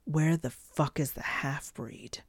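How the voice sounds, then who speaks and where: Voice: medium pitch, fairly even intonation, takes it slowly, breathy edge, dry recording. A narrator voicing a furious, foul-mouthed female fairy warrior in an audiobook, hissing and roaring. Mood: hissing fury.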